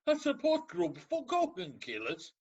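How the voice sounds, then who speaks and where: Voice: high-pitched, wildly swooping pitch, measured pace, vocal fry, darkish tone, highs cut off, heavily acted. An actor playing a scornful, impatient counsellor in a comedic radio play, speaking with sarcastic exasperation. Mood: defiant, impatient. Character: scornful, impatient, unsympathetic ancient Greek counsellor.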